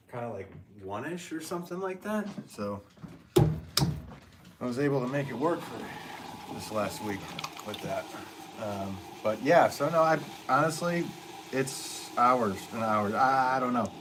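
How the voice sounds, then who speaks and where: A man's voice: medium pitch, animated, slow pace, long pauses, background noise. A man potter hosting a casual livestream from his studio, rambling through viewer comments with lots of ums and easy laughs. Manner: rambling, chatty, laughs often, many ums.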